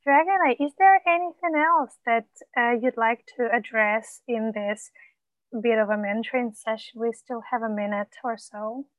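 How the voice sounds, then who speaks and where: A woman coach mentor, whose first language is not English, giving supportive, measured feedback with frequent pauses and ums. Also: a little high, no echo, phone-quality sound.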